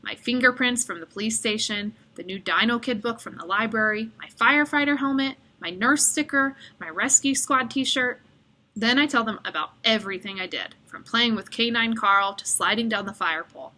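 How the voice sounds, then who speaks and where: A woman reading a children's picture book aloud as an expressive storyteller. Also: a little high, normal speed.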